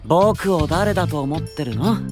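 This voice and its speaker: An actor playing a cheeky, self-assured young knight, an older brother with a breezy, teasing way of talking, in an anime audio drama. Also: male voice, a little high, expressive, measured pace, over music. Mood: breezy, smug.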